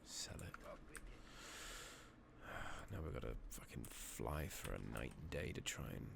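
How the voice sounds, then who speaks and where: A British man giving soft-spoken, partly whispered ASMR commentary on a video game, muttering casually to himself on a close microphone. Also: extremely low pitch, lively intonation, gravelly. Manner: casual, unhurried, muttering to himself, mild swearing. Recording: noisy mic with keyboard clicks.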